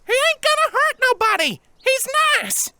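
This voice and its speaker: A man's voice: very high-pitched, very animated, a little slow, sharp tone, no room sound, voice pushed up, exaggerated voice. A man voicing a scrappy, indignant young girl pony in a story reading. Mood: indignant, defiant. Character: feisty, scrappy little filly ponies, indignant young girls.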